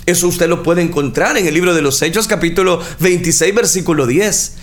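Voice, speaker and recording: A man preaching a sermon, speaking earnestly and addressing the listeners directly, with frequent pauses and fillers. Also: on the high side. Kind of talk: sermon on scripture.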